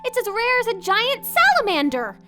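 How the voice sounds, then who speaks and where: A woman's voice: very high-pitched, huge pitch swings, normal speed, exaggerated voice. A woman voicing a sulky, proud teenage schoolgirl in a wheelchair for a fan dub, pouting and defensive. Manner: pouty and defensive, stubborn. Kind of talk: fan dub of a visual novel. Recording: music playing underneath.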